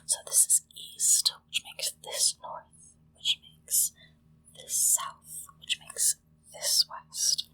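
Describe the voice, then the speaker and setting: A woman whispering gently and deliberately into a close microphone, leading a ritual in ASMR style with hushed pauses. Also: rough recording. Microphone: close-up sensitive microphone.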